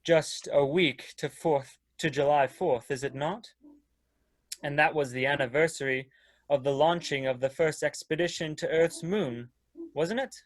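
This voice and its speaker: An actor playing a courtly, earnest leader of a space expedition, with a formal, old-fashioned, oratorical manner in a dramatic reading. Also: male voice, fairly high pitch, steady tone, a little slow, no echo, lightly acted. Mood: earnest, stirring. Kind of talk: dramatic reading of science fiction.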